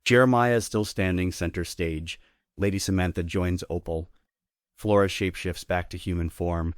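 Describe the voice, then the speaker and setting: A man narrates a script as the reader of stage directions. His voice is low, and the sound is dry, with hardly any room in it. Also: steady tone, normal speed, no echo.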